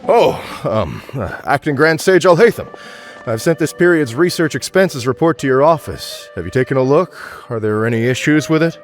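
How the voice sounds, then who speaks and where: A man's voice: a little high, expressive, normal speed, soft background music, close to an everyday voice. A man voicing a formal, stern but fair administrator in a game, polite and businesslike. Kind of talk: game voice acting.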